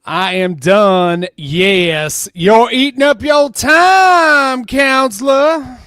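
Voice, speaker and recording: Man putting on a scolding lawyer voice, super slow, high and ringing clear, fed up. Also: a bit bright, no room sound, raised voice, studio quality, full character voice. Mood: exasperated. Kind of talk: dramatic reading of a deposition transcript.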